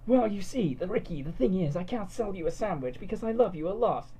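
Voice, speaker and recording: A performer voicing a muscle-bound, sentimental, lovestruck sandwich shop guy in a chummy, overwrought, halting way. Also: medium pitch, expressive, dark tone, husky, pitched above the performer's own voice, rough recording. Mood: pained, lovestruck.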